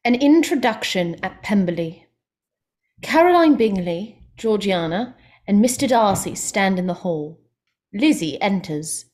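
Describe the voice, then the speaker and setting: A woman narrates a staged play reading. Her voice is medium in pitch. She delivers scripted scene-setting as the reader of stage directions. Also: expressive, unhurried, darkish tone.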